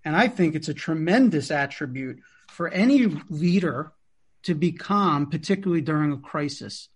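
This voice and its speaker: Admiring male interviewer, higher voice. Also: lively intonation, moderate pace, slightly rough. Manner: admiring, conversational. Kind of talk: interview questions.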